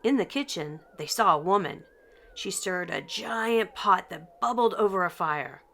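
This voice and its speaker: An older woman reading a children's picture book aloud in an expressive, deliberate storytelling manner. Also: mid-range voice, background noise. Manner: slow, deliberate, animated delivery for children. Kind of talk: expressive scripted read-aloud narration.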